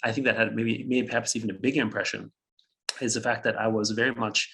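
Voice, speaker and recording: A man's voice: lowish voice, flat delivery, quick pace, creaky, bright, forceful tone. A German-speaking man in his fifties from Austria, a guest on an interview, telling his story reflectively, chuckling often and restarting phrases.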